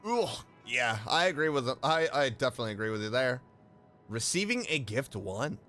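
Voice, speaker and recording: A man giving casual, excitable and playful reaction commentary as a video streamer, gushing with enthusiasm. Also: mid-range voice, normal speed, faint music behind. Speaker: YouTube streamer reacting to game voice lines.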